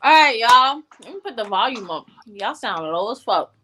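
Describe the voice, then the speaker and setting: A woman's voice: fairly high pitch, sing-song, normal speed, bright, forceful tone, slight background noise. A young woman hosting a casual chat show in a playful, slangy, joking manner. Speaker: podcast host.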